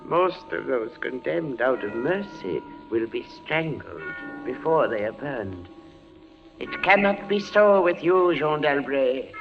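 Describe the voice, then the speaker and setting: A stern, pitiless inquisitor judge. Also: male voice, medium pitch, sing-song, vocal fry, heavily acted. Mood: menacing. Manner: grave, measured, pronouncing sentence. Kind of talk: 1940s radio acting. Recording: dull old radio broadcast with music.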